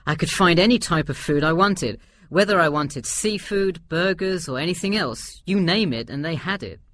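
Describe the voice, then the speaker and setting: A man's voice: a little high, animated, moderate pace, fairly bright tone. A man playing an easygoing middle-aged tourist who gives agreeable, explanatory answers in a scripted listening-test dialogue. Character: easygoing forty-one-year-old male tourist answering survey. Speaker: survey respondent.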